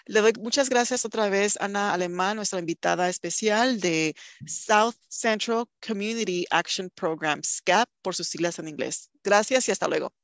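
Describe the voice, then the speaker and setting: A woman's voice: mid-range voice, normal speed, fairly bright tone, no room sound. A woman hosting a community radio interview, effusive and congratulatory in manner. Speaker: radio host. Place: recorded over a video call.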